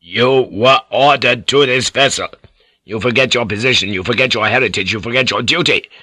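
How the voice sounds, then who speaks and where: A man's voice: deep voice, a little slow, sharp tone, no room sound, studio quality, heavily acted. A man voicing an imperious, scornful feudal lord in an audiobook, stern and commanding. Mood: disgusted, commanding.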